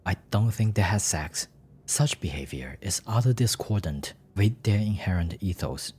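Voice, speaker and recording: A man's voice: deep voice, lively intonation, normal speed, darkish tone, slight background noise. A man voicing a pompous, self-satisfied company boss in a dramatized story.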